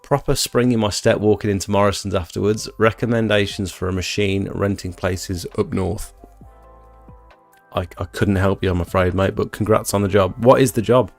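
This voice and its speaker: A man's voice: lowish voice, fairly even intonation, normal speed, gravelly, faint music behind. A British man in his thirties chatting informally and rambling as he hosts a casual live stream, reading out comments and answering them. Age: 30s. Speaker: livestream host, exterior cleaning tradesman. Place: small home bedroom doubling as an office.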